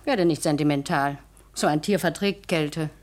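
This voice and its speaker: Woman acting a low-voiced scornful wife. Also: normal speed, a little hiss or hum, close to an everyday voice. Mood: scornful, nagging. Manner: curt, contemptuous. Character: cold, scornful wife belittling her husband. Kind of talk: 1960s German radio play acting.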